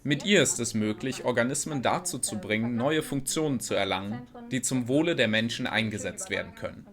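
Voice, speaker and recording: A man's voice: mid-range voice, fairly quick, a crowd in the background. A man reading scripted narration for an educational science film, explaining and storytelling as he goes.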